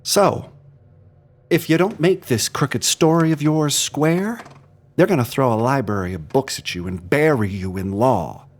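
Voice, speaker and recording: An actor playing a skeptical, sardonic interrogator in an audio drama, dry and deliberate, stopping and starting in disbelief. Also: male voice, medium pitch, animated, slight background noise, close to an everyday voice. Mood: stern, sardonic. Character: skeptical, sardonic male interrogator pressing a suspect.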